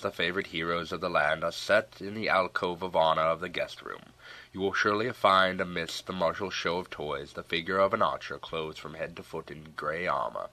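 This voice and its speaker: A man narrating an audiobook legend in a measured, expressive storytelling manner. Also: low voice.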